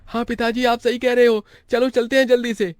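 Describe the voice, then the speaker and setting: A man voicing an obedient, eager young son from a poor family in an animated moral story. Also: very high voice, fairly quick, slight background noise, voice pushed up. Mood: eager, dutiful.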